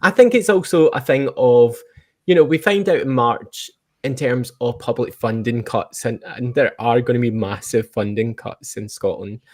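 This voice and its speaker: Mid-pitched man hosting a chat. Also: normal speed, darkish tone. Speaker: host, interviewer. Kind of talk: casual interview chat.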